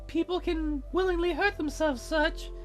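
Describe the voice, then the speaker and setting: A man voicing a lonely, withdrawn, soft-spoken girl from a visual novel. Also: very high-pitched, steady tone, moderate pace, pitched above the performer's own voice. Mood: soft, resigned. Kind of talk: visual novel character reading. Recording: music behind the voice.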